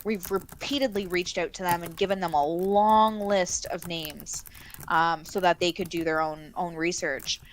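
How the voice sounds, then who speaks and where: A woman's voice: lowish voice, steady tone, a little slow, slight background noise. A woman in her thirties from Canada with a Canadian accent, co-hosting a live stream in casual chat, talkative with frequent fillers and laughter. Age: 30s. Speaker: co-host of a missing-persons livestream.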